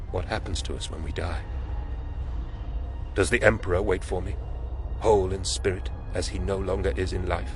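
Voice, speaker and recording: Deep-voiced, solemn dying warrior. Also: male voice. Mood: dying, fervent. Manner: solemn, grave, dramatic. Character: dying ancient warrior entombed in war machine, devout, stoic. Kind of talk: first-person audio drama narration. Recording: loud music behind the voice. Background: dramatic music.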